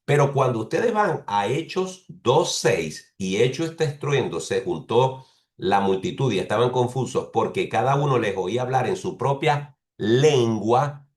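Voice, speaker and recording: A man preaching a teaching sermon who explains slowly and restates each point several ways. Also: medium pitch, expressive, a little mellow, roomy sound. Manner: explains patiently, repeats and rephrases points; speaks bilingually of Spanish and English.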